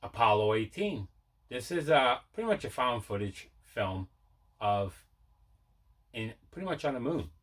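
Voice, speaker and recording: Male vlog host, mid-pitched with a bright, forward voice; casual, enthusiastic collector chat that circles back on itself. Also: very animated, unhurried, pauses often. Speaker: video host showing a movie collection. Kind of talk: casual mail-day haul chat.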